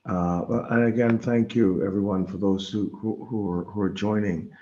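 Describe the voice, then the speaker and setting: A middle-aged man from the Bahamas giving an informal religious talk online, speaking haltingly and often repeating words as he goes. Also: on the low side, steady tone, mellow, muffled recording. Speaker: guest presenter. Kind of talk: informal religious lecture over an online meeting.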